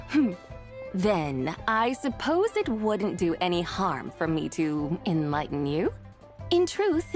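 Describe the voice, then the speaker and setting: A dignified immortal lady from a video game. Her mid-pitched speech is formal, lofty and measured, with an old-world graciousness and faint music underneath. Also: a little mellow. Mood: aloof. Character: ancient, dignified adeptus woman, gracious and lofty.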